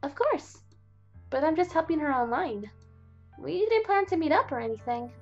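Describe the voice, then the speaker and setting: A woman voicing a bubbly, teasing teenage girl from a video game, reading her lines in a higher, chirpy voice. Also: animated, moderate pace, highs cut off, faint music behind.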